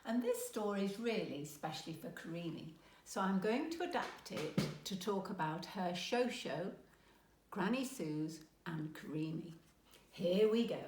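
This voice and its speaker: A woman's voice: medium pitch, a little mellow, reverberant. A woman from England reading a children's story aloud expressively, as if to a small child.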